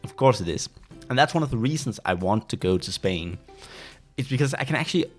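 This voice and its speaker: A young Danish man hosting a casual solo podcast, pausing often and restarting sentences as he looks for the right word. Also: medium pitch, lively intonation. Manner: pauses often to search for words, many restarts. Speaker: podcast host. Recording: faint background music.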